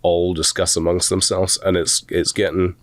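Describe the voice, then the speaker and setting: A middle-aged man with a softened Scottish accent, an animation instructor telling rambling, self-deprecating stories in a relaxed interview. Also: deep voice, moderate pace, no room sound. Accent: Scottish, softened toward American. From Scotland.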